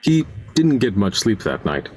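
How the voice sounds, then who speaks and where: A man with a husky voice narrating a scripted amateur radio play. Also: lowish voice, very animated, unhurried, darkish tone, exaggerated voice.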